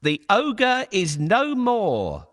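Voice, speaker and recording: A man voicing a clever, courteous talking male cat in a storybook reading, flattering and sly in tone. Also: high-pitched, extremely slow, pitched above the performer's own voice, exaggerated voice. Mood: solemn.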